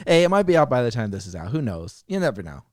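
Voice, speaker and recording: A man's voice: medium pitch, sing-song, quick pace, darkish tone, dry recording, clean studio sound. A man co-hosting a casual chat show, bantering in a teasing, joking way. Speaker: podcast co-host and audio drama producer.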